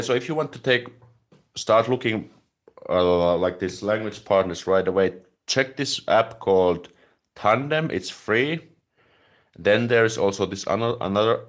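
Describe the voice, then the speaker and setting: Low-voiced man chatting casually. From Finland. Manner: relaxed, chatty, leans on "like" as filler. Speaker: language-learning YouTuber. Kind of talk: casual live stream, answering viewer comments.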